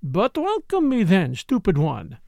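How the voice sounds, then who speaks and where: A man's voice: high-pitched, huge pitch swings, a little slow, gravelly, a little mellow, no echo, studio quality, full character voice. A man reading aloud voices an insolent, seductive French woman with a put-on French accent, teasing and coaxing. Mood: coaxing, mocking.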